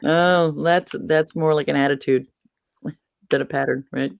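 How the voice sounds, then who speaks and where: A woman's voice: low voice, steady tone, a little slow, gravelly, smooth, no echo, studio quality. A woman from Massachusetts chatting casually as host, rambling and losing her train of thought. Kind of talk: casual chat.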